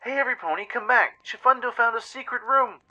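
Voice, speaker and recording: Man putting on an excitable high-pitched young pony. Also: a little fast, highs cut off, full character voice. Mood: excited. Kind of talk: radio play acting.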